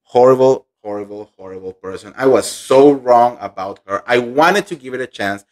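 A man dishes celebrity gossip with animated indignation, repeating words for emphasis. He talks straight into a close studio mic in a room at home. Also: mid-range voice, moderate pace. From Colombia. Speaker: pop culture gossip host. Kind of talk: gossip commentary vlog. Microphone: studio microphone on boom arm.

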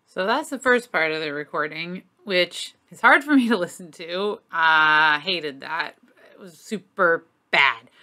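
Middle-aged female aviation instructor: forceful bright delivery, slightly low pitch, candid explainer style. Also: lively intonation, measured pace. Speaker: flight instructor hosting an aviation lesson. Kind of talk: informal explanatory lesson to camera. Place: small home office, indoors.

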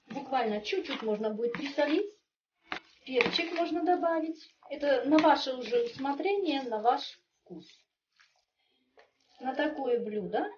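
A woman's voice: on the high side, background noise. A woman walking through a home recipe step by step in a chatty, homely way, recorded at a kitchen table. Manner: homely and chatty, with frequent little fillers. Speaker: home cook. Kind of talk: step-by-step cooking demonstration. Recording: distant, muffled, faint music behind.

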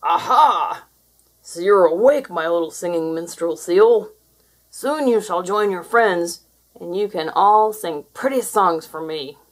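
A woman's voice: lowish voice, slow pace, rough recording. A woman voicing a big, greedy male monster in a growling, gloating storybook manner. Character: big greedy male monster who hoards pretty things.

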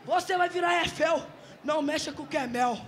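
A hoarse man freestyling in a rap battle, shouting his rhymes into a handheld mic on an outdoor stage. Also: extremely high pitch, fairly quick, a crowd in the background, background noise. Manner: shouted rhythmic rapping over a beat. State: losing his voice. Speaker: battle rapper. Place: outdoor stage under a city viaduct, large crowd. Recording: loud beat through stage speakers.

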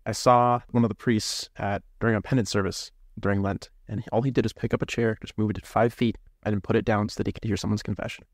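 A man's voice: on the low side, level tone, fast talker, few pauses, no echo, studio quality. A man in his twenties, a seminarian guest, talking reflectively and hesitantly in an interview, often searching for words. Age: 20s.

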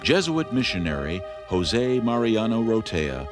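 A man narrates a 1970s documentary in a very deep voice that rises and falls dramatically. Loud music plays under the whole narration. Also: sing-song, measured pace.

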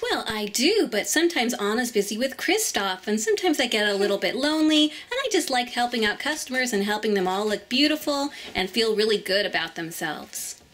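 A kindly queen of ice who keeps a beauty parlor played by a woman in children's doll play. Her voice sits on the high side. She gushes over everyone and encourages her helpers with effusive praise. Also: animated, a little fast, few pauses, fairly bright tone. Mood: wistful, kindly.

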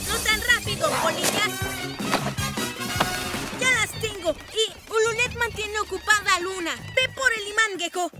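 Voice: very high-pitched, unhurried. An actor voicing a determined little boy superhero leader in a cartoon, earnest and commanding, over music.

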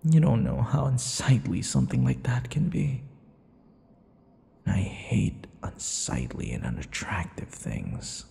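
A man playing a possessive, sadistic yandere vampire in an intimate ASMR roleplay, taunting and slipping into breathy whispers. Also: medium pitch, wildly swooping pitch, slow, warm tone, heavily acted. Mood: menacing, tender. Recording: long hall-like reverb.

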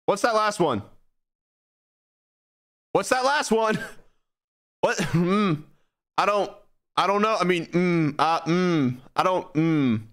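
A man's voice: sits high, expressive, slow, long pauses, a bit bright. A young man streaming a horror game, giggling and making wry comments back at the screen.

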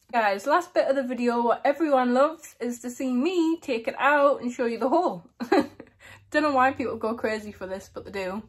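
A young woman with a slight lisp, chatting casually to camera in a small room, giggling now and then. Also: high voice. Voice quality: slight lisp from a lip plate. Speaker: vlogger.

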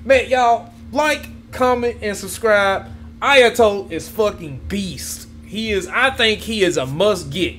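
A young man reacting to gameplay from a home room, excitable and swearing freely as he talks to his viewers. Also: high voice, slow pace, faint music behind. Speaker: gaming YouTuber. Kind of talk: casual gameplay reaction commentary. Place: home room with gaming chair.

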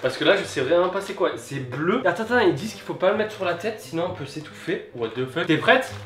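Young guy w a cold doing a hyped unboxing vlog, kinda high voice, echoey kitchen, music behind. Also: expressive, fast, a bit bright. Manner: hyped, joking, talks straight to viewers. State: stuffy with a cold. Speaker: YouTube host. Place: small bright home kitchen. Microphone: camera mic, standing a few steps back. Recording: echoey room with background music.

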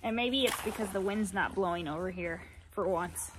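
A woman's voice: mid-range voice, moderate pace, noisy background. A woman in her thirties, a farmer vlogging casually to a phone held at arm's length outdoors on a farm, talking in a chatty, rambling, down-to-earth way and sounding sore and tired. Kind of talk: casual vlog chat to camera. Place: outdoors on a farm, by fields and a cattle barn.

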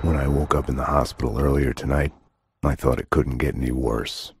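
An American man playing a guilt-ridden homicide detective in a game, narrating his past in a grave, deadpan, noir style. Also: brisk, breathy edge, heavily acted. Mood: brooding, grim. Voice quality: deep, low voice. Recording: loud music behind.

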